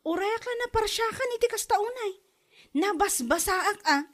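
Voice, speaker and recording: A woman's voice: very high voice, lively intonation, moderate pace, fairly bright tone. A woman with an Ilocano accent playing a proud, complaining wife talking to her husband in a comedy drama. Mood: aggrieved.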